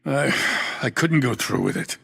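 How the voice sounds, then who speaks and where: An actor playing a weary, remorseful policeman in a video game, grave, earnest and halting. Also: mid-range voice, expressive, creaky, husky, exaggerated voice. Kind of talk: dramatic video game voice acting. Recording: long echoing reverb.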